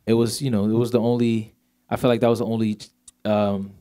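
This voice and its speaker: A relaxed young man from Sweden talks in a low-pitched voice. A faint Swedish accent slips through his casual answers. He leans hard on fillers and easygoing phrasing. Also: a little fast. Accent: light Swedish. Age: 20s. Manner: laid-back, many fillers like "you know". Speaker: singer guest. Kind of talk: casual interview chat.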